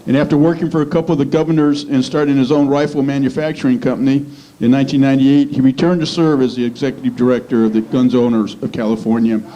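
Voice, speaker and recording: Man, American accent, mellow dark tone, halting, informal radio panel host. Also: medium pitch, dull audio. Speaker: radio show host, moderator. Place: storefront meeting room, live event.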